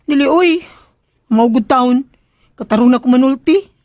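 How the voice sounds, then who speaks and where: An actress playing a cheeky, pleading young caretaker in a radio drama, blurting things out in hesitant, chatty back-talk. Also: female voice, high-pitched, measured pace, no room sound, muffled recording. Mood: flustered defensive.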